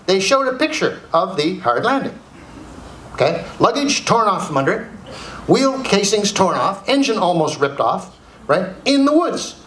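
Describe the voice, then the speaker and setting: High-pitched Canadian man speaking in a roomy venue. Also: normal speed. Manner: animated storyteller, emphatic repetition. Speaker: keynote speaker and humorist. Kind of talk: humorous motivational keynote to a live audience. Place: indoor speaking venue with audience. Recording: roomy live hall, faint background noise.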